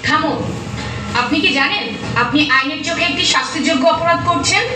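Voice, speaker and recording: A woman acts as a caring but firm social worker preaching to villagers in a puppet play. Her high voice swings widely and echoes strongly over music, as if some way from the microphone. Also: a little fast, close to an everyday voice. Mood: stern. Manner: emphatic, preachy. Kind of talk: scripted puppet show dialogue, awareness speech. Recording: very echoey, distant, music behind.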